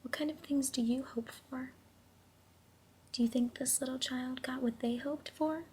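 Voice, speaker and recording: A woman reading a children's story aloud in a gentle, hushed, unhurried way, pausing to put questions to her listeners. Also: high-pitched, steady tone, breathy edge. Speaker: storybook reader.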